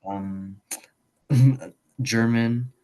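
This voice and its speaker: A man's voice: mid-range voice, steady tone, drawn-out words, warm tone. A young man, a student whose first language is Khmer, talking casually in a rambling way, full of fillers and restarts. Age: young adult. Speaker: student guest. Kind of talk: casual conversation.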